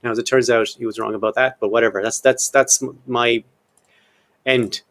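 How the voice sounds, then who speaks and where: A man's voice: medium pitch, fairly even intonation, normal speed, fairly bright tone. A man of about fifty hosting a casual history podcast, jokey and irreverent, who swears offhandedly and breaks up long read-out quotes with his own asides. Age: 50s. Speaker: podcast host.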